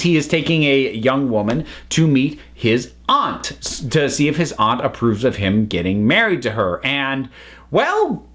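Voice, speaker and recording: A man's voice: fairly high pitch, fairly bright tone. A man giving a casual, unscripted review, chatty and rambling as the host.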